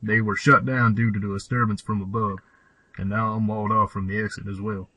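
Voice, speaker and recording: Man acting a solemn, low-voiced old hermit. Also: level tone, a little fast, bright, forceful tone, no room sound. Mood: gruff, practical. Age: older. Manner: solemn, old-fashioned, storyteller-like delivery. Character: cryptic old cave-dwelling hermit mechanic, storyteller of legends. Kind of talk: fan audio drama acting.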